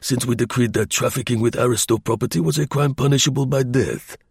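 A man voicing a gruff, boastful male revolutionary official who blusters. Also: medium pitch, brisk, a little mellow, no echo, clean studio sound. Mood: blustering.

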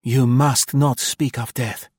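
A man's voice: medium pitch, unhurried, a little mellow, slightly rough, dry recording, studio quality, voice pushed up. A man voicing an imperious, passionate young female spy, speaking with heightened emphasis.

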